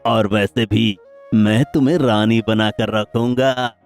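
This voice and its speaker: A man's voice: on the low side, steady tone, slow, faint music behind. A man voicing a rich, proud and vengeful older landlord with dramatic, self-important delivery in a cartoon story. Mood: wooing, persuasive. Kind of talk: Hindi cartoon moral-story acting.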